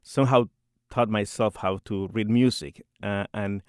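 Halting low-voiced man. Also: steady tone, a little mellow, clean tone, dry recording, studio quality. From Puerto Rico. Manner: hesitant, many restarts and repeated words, laughs often. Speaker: composer and church organist. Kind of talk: radio interview.

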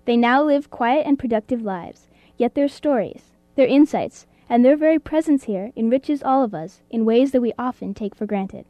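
A woman with a mellow, slightly high voice reads a formal documentary narration. The sound is close and dry. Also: normal speed, no room sound. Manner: even, formal reading.

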